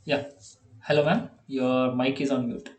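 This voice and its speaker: A man's voice: mid-range voice, flat delivery, measured pace, darkish tone. A young man from India, a native Telugu speaker with an Indian accent, tutoring a student over a video call, full of fillers and restarts. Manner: hesitant, many fillers and restarts. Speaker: online coding tutor. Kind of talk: casual online tutoring session. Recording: echoey video call audio.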